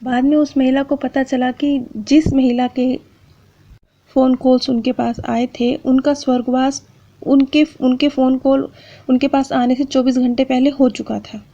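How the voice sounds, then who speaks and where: Woman, soft mellow tone, scripted narration, earnest explaining, dull lo-fi sound. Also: sits high, little pitch movement, unhurried. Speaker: presenter of a spiritual channel. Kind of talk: scripted narration of true accounts.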